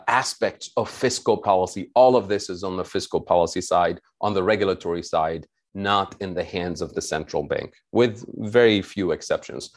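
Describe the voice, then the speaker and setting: A man from Tunisia lays out economic policy in a lecture-style presentation. His voice sits a little low. He explains methodically and often restarts a phrase. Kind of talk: academic presentation.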